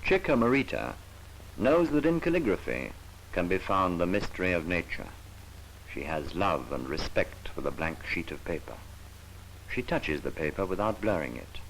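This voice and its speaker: Deep-voiced man narrating an old documentary. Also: big pitch swings, creaky, fairly bright tone. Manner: solemn, poetic, measured delivery. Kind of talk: scripted 1950s educational film narration. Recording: noisy 1950s archival film soundtrack.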